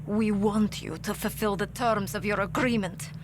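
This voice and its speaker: A woman's voice: mid-range voice, steady tone, moderate pace, background noise, lightly acted. An actress playing a tough, indignant female arms dealer in a video game, blunt and demanding. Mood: demanding, irritated.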